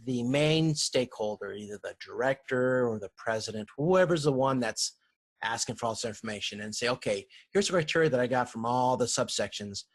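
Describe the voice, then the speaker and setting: Male lecturer, mid-pitched and somewhat husky, informal explanatory slide talk with halting, self-correcting phrasing. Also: expressive. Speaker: university lecturer.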